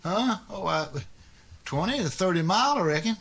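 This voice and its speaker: High-voiced anxious sidekick. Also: male voice, animated, moderate pace, vocal fry, fairly bright tone, husky, exaggerated voice. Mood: puzzled, worried. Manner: folksy, hesitant. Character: anxious, simple, loyal male deputy sidekick in the Old West. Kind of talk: old-time radio western acting.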